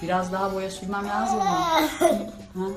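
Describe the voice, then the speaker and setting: A woman's voice: mid-range voice, big pitch swings, unhurried, echoey room, music in the background. A woman hosting a video, chatting casually and playfully, with exclamations during a makeup challenge. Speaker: video host.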